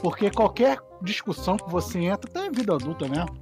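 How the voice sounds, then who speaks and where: A man with a high voice talks loosely in a podcast chat, full of slang, swearing and restarts. Faint music plays behind him. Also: very animated, quick pace. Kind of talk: casual chat among friends.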